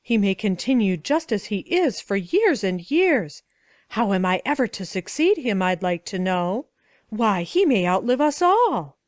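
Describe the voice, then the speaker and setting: A woman's voice: medium pitch, sing-song, measured pace, dry recording, clean studio sound. A woman voicing a sly, scheming young male prince with a mock-sweet, fawning tone. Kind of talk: storybook reading.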